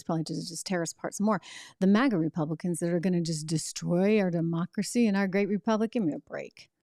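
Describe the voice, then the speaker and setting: A woman's voice: medium pitch, darkish tone, no room sound, clean studio sound. A woman hosting a political commentary show, speaking emphatically and reacting to clips with rhetorical questions. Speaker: host.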